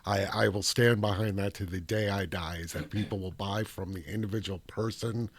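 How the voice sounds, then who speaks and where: A man's voice: lowish voice, steady tone, moderate pace. A man speaking as a guest in a casual chat, firm and reflective. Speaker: guest, cannabis activist.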